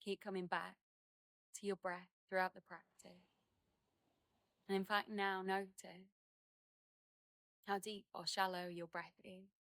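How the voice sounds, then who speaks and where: A woman leading a guided meditation outdoors, speaking in gentle, unhurried phrases with many pauses and soothing instruction. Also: medium pitch, flat delivery, no echo, studio quality. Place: outdoors in a garden.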